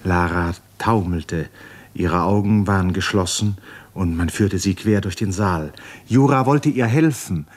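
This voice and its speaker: A man narrating a literary radio drama in a measured, solemn storytelling manner. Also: low-pitched.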